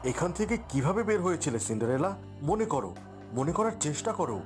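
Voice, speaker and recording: A man playing an earnest, protective young adventurer in an animated fairy tale. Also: on the high side, fairly quick, music in the background. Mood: encouraging.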